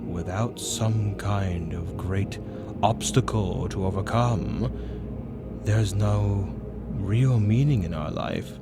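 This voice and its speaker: A man voicing a grandiose avian demon tempter in a dark fantasy roleplay monologue, lecturing persuasively with theatrical, drawn-out emphasis over gothic music. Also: on the low side. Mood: solemn, persuasive. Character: male avian demon arch-tempter, grandiose philosophical seducer. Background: loud dark gothic music.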